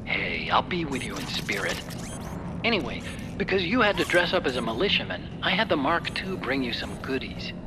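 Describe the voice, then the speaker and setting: Game role, male actor: friendly techie giving mission support, medium-pitched voice, clear explanatory delivery, ambient noise behind. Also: big pitch swings, normal speed, lightly acted. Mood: helpful, explanatory. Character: Otacon, earnest brainy male tech engineer, mission support. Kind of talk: video game cutscene acting, mission briefing.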